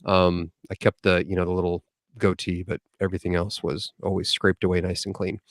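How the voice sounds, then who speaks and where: Chatty man in his forties, low voice. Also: little pitch movement, fairly quick, no echo, clean studio sound. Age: 40s. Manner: chatty, detailed storyteller who backtracks mid-story. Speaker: podcast guest. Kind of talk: casual storytelling.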